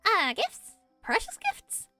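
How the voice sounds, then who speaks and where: A woman voicing a tiny, excitable, childlike fairy girl companion in a video game, exclamatory and eager. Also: very high-pitched, slow, no echo, soft background music, pitched above the performer's own voice, full character voice. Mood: curious. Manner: exclamatory, refers to self by name. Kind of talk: amateur voice acting of video game dialogue.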